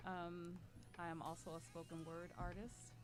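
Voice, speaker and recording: A woman's voice: on the low side, flat delivery, moderate pace. A woman in her late forties from Las Vegas, chatting casually as a guest poet and author. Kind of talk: casual panel chat.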